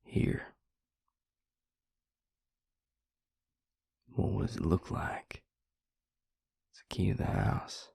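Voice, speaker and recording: A man playing a weary, grieving vampire lover, tender and gruff, in an intimate audio roleplay with a husky, gravelly murmur. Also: warm tone, no echo, clean studio sound, lightly acted. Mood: fond exasperation. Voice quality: husky, breathy, gravelly low voice. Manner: slow, halting, murmured, with sighs.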